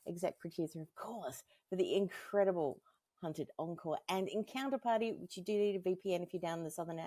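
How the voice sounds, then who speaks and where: A woman's voice: lowish voice, fairly quick, mellow, no echo. A woman hosting a conversational interview in an encouraging, effusive manner. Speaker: interview host.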